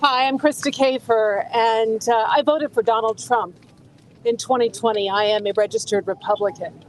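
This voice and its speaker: A woman's voice: fairly high pitch, fairly even intonation, moderate pace, a little hiss or hum, low-quality recording. A woman speaking earnestly and indignantly at an outdoor press conference. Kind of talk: press statement. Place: outdoors on a city street.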